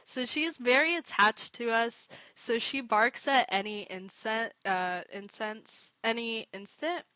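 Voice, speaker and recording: A woman's voice: a little high, a little slow, bright, forceful tone, no echo. A young woman streamer chatting casually to her viewers while playing a game, giggly and a bit self-conscious. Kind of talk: casual live gameplay chat.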